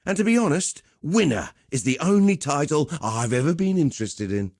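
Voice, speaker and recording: A man's voice: a little high, sing-song, normal speed, darkish tone, no echo, studio quality. A man playing a swaggering, cheerful arena fight promoter in a video game, with theatrical, chummy, slangy patter. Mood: swaggering welcome. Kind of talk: video game character voice lines.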